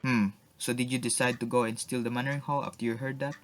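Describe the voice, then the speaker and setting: A man playing a skeptical, unhurried police inspector in a radio drama, casual, dry and questioning. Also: mid-range voice, flat delivery, loud music behind the voice, lightly acted. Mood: wry, curious.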